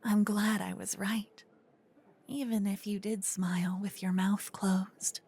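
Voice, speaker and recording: A woman playing a flirtatious, witty royal tailor in a scripted fantasy ASMR roleplay, breathy and often whispering, with a teasing, refined, gossipy manner, close to a binaural microphone. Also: medium pitch, level tone, slow pace, a little mellow. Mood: gossipy, playful.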